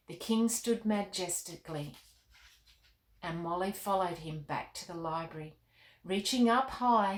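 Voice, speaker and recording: A woman hosting children's storytime, narrating expressively and chatting to young listeners in an animated, encouraging way. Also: on the low side, echoey room. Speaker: children's author and storytime host.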